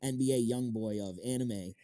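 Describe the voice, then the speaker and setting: A man in his thirties with an American accent, hosting a casual interview and telling stories in animated, slangy talk. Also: mid-range voice, moderate pace, crowd noise. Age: 30s. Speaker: interviewer and channel host.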